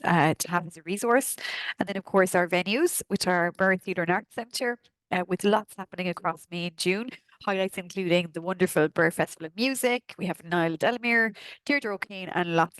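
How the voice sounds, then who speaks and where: A woman from Kerry with a Kerry Irish accent, hoarse from a sore throat, chattily walking through an events newsletter. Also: on the low side, no echo, poor audio. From Kerry, Ireland. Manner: chatty, friendly, frequent ums and uhs. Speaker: arts office presenter.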